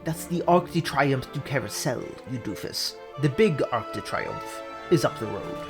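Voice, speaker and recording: A man voicing a sensible, dutiful falcon lawyer with a measured, dry and mildly exasperated manner. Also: mid-range voice, animated, over music, voice pushed down, lightly acted.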